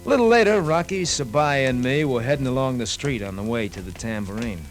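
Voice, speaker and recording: An actor playing an earnest, hard-boiled American sidekick in a slangy 1950s radio drama narration. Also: male voice, medium pitch, expressive, fairly quick, close to an everyday voice. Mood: recounting. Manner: colloquial, slangy. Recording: old radio recording, music underneath.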